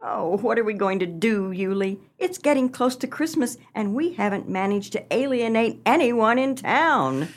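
A woman's voice: fairly high pitch, animated, moderate pace, few pauses, natural voice. A woman playing a scheming, dramatic small-town wife and mother in a comic radio play, with theatrical, genteel delivery. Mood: fretful.

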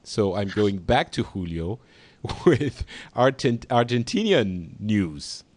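A man's voice: lowish voice, big pitch swings, takes it slowly, a little mellow, no room sound. A man hosting a casual group podcast, hesitant and prone to restarts and laughter. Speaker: podcast host.

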